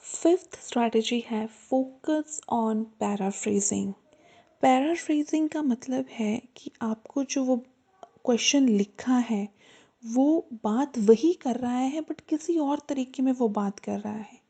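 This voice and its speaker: A woman tutor giving an explanatory lesson to students, earnest and repeating her reminders, slowing down to spell out the key points. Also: a little high, dark tone, breathy edge. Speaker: exam-prep tutor.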